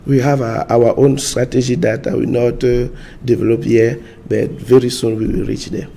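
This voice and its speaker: Gravelly guy stumbling thru english answers, dark low tone. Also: level tone, slight background noise. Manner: halting, repeats words, searches for English. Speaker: party spokesman. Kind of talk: studio interview answers.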